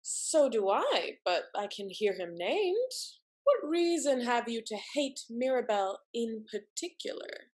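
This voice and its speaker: A woman acting a sharp-witted, effusive married lady in a Restoration comedy, theatrical and mannered. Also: a little high, very animated, unhurried. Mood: probing.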